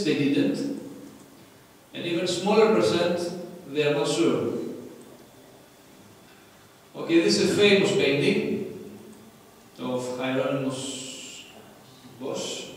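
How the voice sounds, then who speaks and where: A man's voice: fairly high pitch, distant mic. Greek-accented man lecturing in an echoey hall. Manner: quizzes the audience, non-native phrasing. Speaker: conference speaker. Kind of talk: interactive lecture. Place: large conference hall. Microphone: lectern mic heard from afar.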